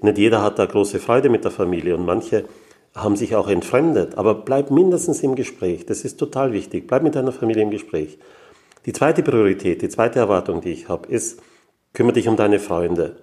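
A man in his sixties with an Austrian accent, giving reflective, deliberate interview answers as a guest, pausing as he thinks. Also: on the low side, expressive, a little mellow. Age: 60s. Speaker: interview guest, business owner.

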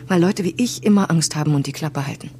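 A woman's voice: low voice, fast, darkish tone, a little hiss or hum, close to an everyday voice. Intense, wronged woman played by an actress. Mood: bitter, hurt. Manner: intense, emphatic. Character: wronged woman, defiant, frightened and bitter. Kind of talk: naturalistic audio drama acting.

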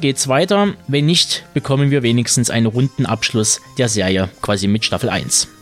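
A man hosts a podcast, reading prepared narration in a mid-pitched voice. Music plays faintly underneath him as he speaks. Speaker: podcast host.

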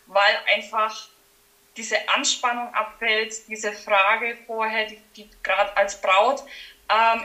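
Woman, 30s, Franconian accent, bright voice, friendly, many filler sounds, podcast guest. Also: on the high side, poor audio. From Franconia, Germany. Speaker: wedding photographer guest. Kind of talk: casual podcast interview.

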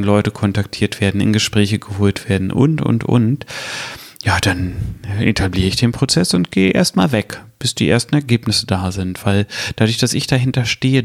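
A man in his thirties hosting his own podcast, talking directly to the listener in a reflective, advisory way. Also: on the low side. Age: 30s. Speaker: podcast host, strategy consultant. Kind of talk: solo podcast monologue.

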